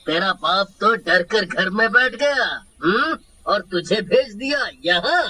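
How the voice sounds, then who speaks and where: A man playing an arrogant rich merchant who bullies and blusters in a cartoon horror story, with a raspy voice. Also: high voice, expressive, measured pace, sharp tone, slight background noise, exaggerated voice, low-quality recording. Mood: menacing. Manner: blustering, stammers when frightened. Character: arrogant, bullying rich merchant, easily spooked. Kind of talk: Hindi animated horror story dialogue.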